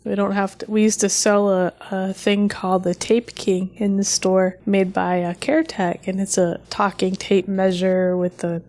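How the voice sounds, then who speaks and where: A woman with a medium-pitched voice speaks as a podcast co-host. She talks casually about tech, explaining in a measured and orderly manner. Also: level tone, darkish tone.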